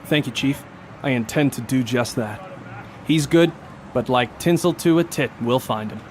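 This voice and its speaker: A man playing a determined, hard-boiled police detective in a noir radio drama, narrating and speaking in a terse, deadpan way. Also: medium pitch, normal speed, slight background noise, close to an everyday voice. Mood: resolute. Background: music.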